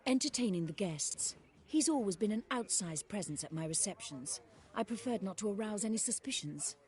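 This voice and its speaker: Haughty noblewoman for a fantasy game played by a woman: mid-pitched, formal, measured, dryly disdainful. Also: animated, darkish tone, natural voice. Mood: composed, wry. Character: haughty, composed aristocratic mother in fantasy world. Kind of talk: video game dialogue.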